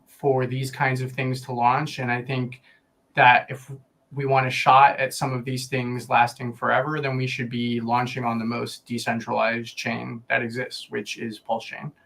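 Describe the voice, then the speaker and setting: A man giving a casual explanatory pitch on a stream, measured, in short phrases with pauses. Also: little pitch movement, vocal fry, a bit bright. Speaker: publishing platform representative.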